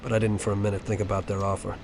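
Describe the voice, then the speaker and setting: An actor playing a nervous, honest taxi driver in a video game. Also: male voice, fairly low pitch, little pitch movement, racing, noisy background, close to an everyday voice. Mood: reflective narrating. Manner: hesitant, stammering when uneasy. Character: nervous, honest male taxi driver, reluctant around gangsters.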